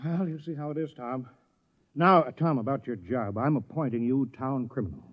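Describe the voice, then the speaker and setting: An actor playing an anxious, fussy small-town mayor in a vintage radio play, coaxing and flustered. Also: male voice, medium pitch, normal speed, close to an everyday voice. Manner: flustered, hesitant, pleading. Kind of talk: mid-century radio comedy-drama acting. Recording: muffled vintage radio recording.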